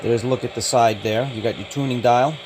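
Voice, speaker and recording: A man with an average-pitched voice talks through a casual product review. He is matter-of-fact and tends to itemize in short, clipped phrases. The home-made recording has clearly audible noise behind him. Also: a little fast. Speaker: radio hobbyist reviewer. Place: indoors.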